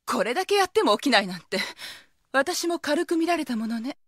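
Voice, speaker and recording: A woman playing a proud, commanding high school girl, a caring eldest sister, in a Japanese anime audio drama. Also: very animated, a little fast, a bit bright, no room sound, clean studio sound. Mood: haughty. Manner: commanding, self-assured, scolding.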